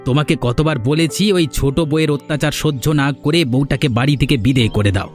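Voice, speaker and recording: A man's voice: fairly high pitch, fast, a little mellow, soft background music, natural voice. A man voicing a meddling, ingratiating younger village schemer in a cartoon, chatty and wheedling. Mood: conniving. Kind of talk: Bengali cartoon fairy-tale acting.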